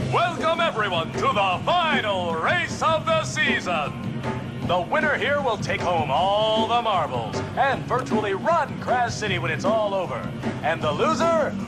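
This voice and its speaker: An actor playing a slick, gleefully cruel racing TV host in a video game, with theatrical, smug announcer delivery. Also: male voice, high voice. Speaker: race commentator. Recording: music behind the voice.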